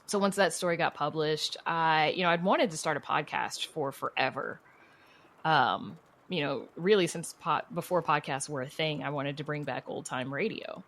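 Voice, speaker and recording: Low-pitched woman chatting casually. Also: normal speed, fairly bright tone. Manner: informal and chatty, laughs easily while telling stories. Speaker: podcast creator and writer being interviewed. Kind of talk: casual conversational interview answers.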